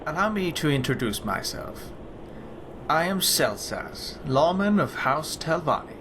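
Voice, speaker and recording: A man's voice: on the high side, big pitch swings. Man voicing a courteous lizard-folk lawman over noisy ambience. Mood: courteous, hopeful. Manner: formal, courteous, measured. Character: polite, earnest male lizard-folk lawman and alchemist. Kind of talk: scripted fantasy game dialogue. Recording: clear ambient noise behind the voice. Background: game ambience.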